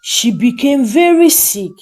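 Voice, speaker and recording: A woman narrating a folktale as a storyteller, with a measured, expressive and dramatic delivery. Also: on the high side.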